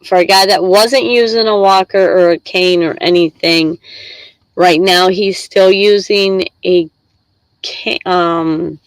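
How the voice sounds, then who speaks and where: Stuffy-nosed middle-aged woman rambling on her live stream, slow and unhurried, dry close sound. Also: on the low side, steady tone, clean tone, dry recording, poor audio. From Michigan. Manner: chatty, rambling, reads chat aloud. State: congested with a head cold. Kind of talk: casual live stream chat.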